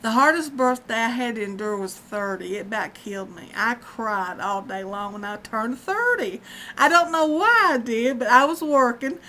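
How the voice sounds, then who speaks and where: A woman's voice: a little high, animated, normal speed, bright, forceful tone, slight background noise, low-quality recording. A woman, chatting casually in a rambling vlog at home, often breaking into laughter. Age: 50s. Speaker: vlogger. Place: dim room at home, indoors. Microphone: webcam at close range.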